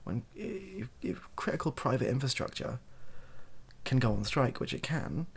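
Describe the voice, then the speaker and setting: A British man speaking softly in a rambling, hesitant, improvised opinion monologue for an online video. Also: mid-range voice, sing-song, moderate pace, gravelly, slightly rough. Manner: soft-spoken, hesitant and rambling.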